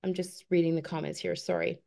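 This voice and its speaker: A woman's voice: on the low side, little pitch movement, brisk, vocal fry, mellow. A woman in her fifties giving an earnest, encouraging motivational talk on a group call. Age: 50s. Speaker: guest speaker.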